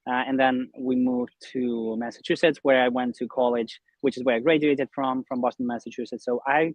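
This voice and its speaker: A man's voice: medium pitch, fairly even intonation, a little fast, no echo, low-quality recording. Young man from Russia, dry close sound. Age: young adult. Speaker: online business instructor, interview guest. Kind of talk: conversational interview, explaining his business.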